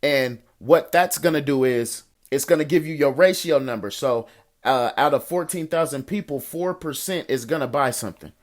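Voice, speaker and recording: An American man with an average-pitched voice talks as a financial coach on a group call. He answers questions informally in street slang, encouraging listeners and repeating phrases to drive a point home. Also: moderate pace. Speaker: host of a financial coaching call, investor and entrepreneur.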